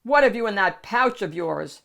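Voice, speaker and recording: A woman voicing a rude, swaggering male ogre in a children's storybook, loud, imperious and snapping. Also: mid-range voice, lively intonation, moderate pace, gravelly. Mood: bossy, mocking. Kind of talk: children's picture book read-aloud.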